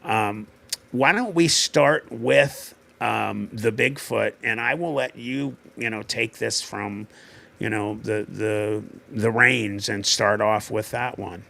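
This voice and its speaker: Slow, low, gravelly-voiced man host. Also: bright, forceful tone. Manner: halting, full of fillers and restarts while looking things up. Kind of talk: casual livestream conversation.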